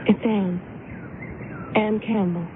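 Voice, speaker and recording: A woman's voice: mid-range voice, fairly even intonation, slow pace, natural voice. An actress playing a sweet, shy, innocent young woman in a radio drama, speaking politely and earnestly. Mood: shy, pleading. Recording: noisy lo-fi old radio broadcast.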